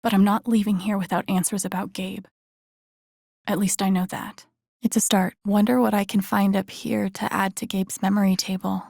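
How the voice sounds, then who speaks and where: A woman playing a grieving but determined young woman in a drama game, musing to herself in a low-key, soft, naturalistic way with wry edges. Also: level tone, normal speed, vocal fry, no echo, clean studio sound. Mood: quietly determined. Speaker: video game voice actress. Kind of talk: naturalistic game character lines, inner-monologue asides.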